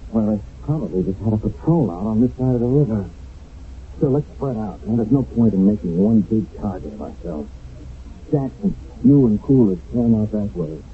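An actor playing a commanding army sergeant on patrol, urgent and decisive, in a radio drama recorded from an old broadcast. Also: male voice, lowish voice, sing-song, moderate pace, narrow, tinny audio, slight background noise. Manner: urgent, decisive, giving orders.

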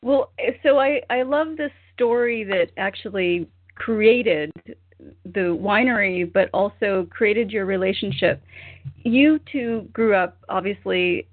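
A woman's voice: medium pitch, no room sound. Woman host asking questions, eager, thin phone-y dry audio. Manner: warm, eager, quick laughs. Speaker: podcast host. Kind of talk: interview hosting. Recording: dry tinny phone-like sound.

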